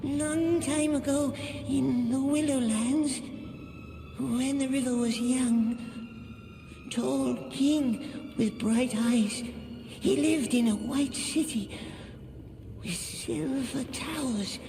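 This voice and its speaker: An actor playing a small, wretched, fearful creature with a raspy, throaty voice, wheedling and talking of itself as "we" in broken grammar in a video game. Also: medium pitch, warm tone. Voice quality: raspy, throaty, whispery at times. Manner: speaks of himself as "we" and in third person, broken grammar. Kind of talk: video game character acting.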